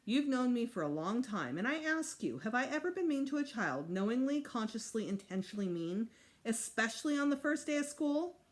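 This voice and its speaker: A woman's voice: fairly high pitch, sing-song, brisk, pitched above the performer's own voice. Prim, exasperated storybook schoolmistress, acted by a woman reader, emphatic and formal, swooping intonation. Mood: indignant. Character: exasperated, prim female kindergarten teacher.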